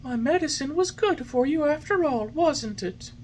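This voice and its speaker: A woman doing a soft-spoken kindly fairy. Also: high voice, expressive, moderate pace, slight background noise, pitched above the performer's own voice. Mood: gentle. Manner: soft, lilting, soothing. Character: gentle patient motherly fairy.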